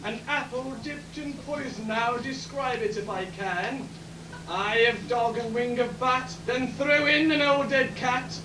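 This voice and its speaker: A man's voice: very high voice, a little slow. A man playing a boastful, menacing evil villain in an amateur pantomime, declaiming in rhyme. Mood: gloating. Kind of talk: rhyming amateur pantomime on stage. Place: theatre bar performance, far camera. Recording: distant, echoey, very noisy theatre bar recording.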